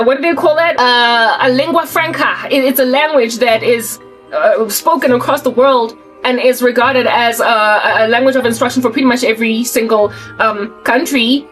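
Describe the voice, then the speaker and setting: A South African woman in her forties, talking casually to camera in an emphatic, colloquial rant that repeats and restarts words. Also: on the high side. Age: 40s. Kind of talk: casual ranting monologue to camera.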